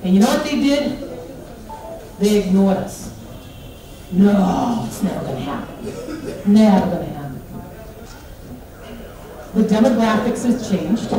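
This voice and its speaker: A middle-aged American woman giving a lively, joking conference talk in a large hotel hall. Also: medium pitch. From United States. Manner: big, animated, joking delivery. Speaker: conference speaker. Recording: echoey hall, PA from far off.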